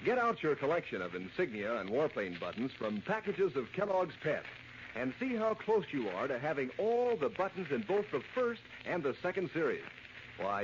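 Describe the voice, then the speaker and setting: An American man works as an old-time radio pitchman, folksy and emphatic. His voice is a bit high and swings widely in pitch. The vintage recording is muffled and faintly noisy. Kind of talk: 1940s radio advertising, acted chat and sales pitch.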